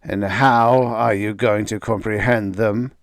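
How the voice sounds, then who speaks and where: A man reading aloud, voicing an angry, bigoted middle-aged father who shouts and rants. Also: lowish voice, steady tone, slow, dry recording, studio quality. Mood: angry ranting. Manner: ranting, shouting.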